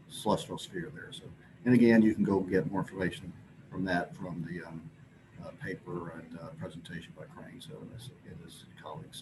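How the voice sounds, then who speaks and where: A man with a notably deep voice gives a scientific presentation. He explains in a loose, unscripted way, often stopping and restarting his sentences. Clear background noise runs through the recording. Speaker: conference presenter.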